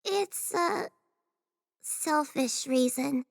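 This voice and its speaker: A woman's voice: high voice, animated, drawn-out words, creaky, dry recording, clean studio sound, full character voice. A woman playing a timid, earnest young girl who hopes to become an apprentice, speaking in a hesitant, meek way. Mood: earnest, vulnerable.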